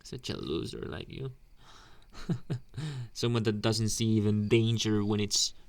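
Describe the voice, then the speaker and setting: A man playing a teasing delinquent bully boy with a secret soft side, in a taunting, drawn-out anime ASMR roleplay. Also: on the low side, steady tone, creaky. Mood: teasing, suspicious. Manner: taunting, drawn-out, questioning hums. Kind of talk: ASMR boyfriend roleplay, slow intimate speech.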